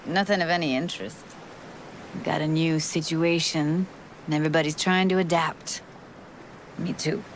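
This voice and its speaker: An actress playing a wry, guarded young American street techie in a video game, with slangy, clipped talk. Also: female voice, low-pitched, fairly even intonation, moderate pace, pauses often, slight background noise, close to an everyday voice. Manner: slangy, clipped, teasing, breaking into breathy asides. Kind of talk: video game dialogue acting.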